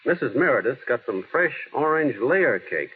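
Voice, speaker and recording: A man's voice: mid-range voice, sing-song, moderate pace, husky. An actor playing a naive, earnest small-town man in an old-time radio drama, folksy and artless. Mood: mild, earnest. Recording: tinny, noisy vintage radio broadcast.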